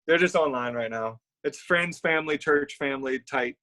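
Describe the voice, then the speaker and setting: A man's voice: fairly high pitch, normal speed. Easygoing young man, interview guest. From Baton Rouge, Louisiana. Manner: relaxed, affable, loose and rambling. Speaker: musician guest. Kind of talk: casual live-stream interview.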